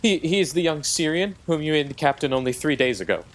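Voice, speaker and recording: Actor as flustered, lively-pitched soldier. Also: male voice, quick pace, natural voice. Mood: nervous. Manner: flustered, hesitant. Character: nervous soldier, deferential to royalty. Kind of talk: theatrical audio drama acting.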